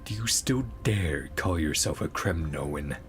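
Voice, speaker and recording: A man's voice: a bass voice, animated, measured pace, faint music behind. A man voicing a stern warrior king and father, declaiming with imperious scorn, as in game dialogue. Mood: scornful, imperious.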